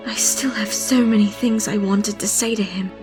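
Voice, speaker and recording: A dignified heroine voiced by a woman for a fantasy game dub, in a medium-pitched voice. She is earnest and solemn, with clear music playing behind her. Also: fairly even intonation, normal speed, close to an everyday voice. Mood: sorrowful.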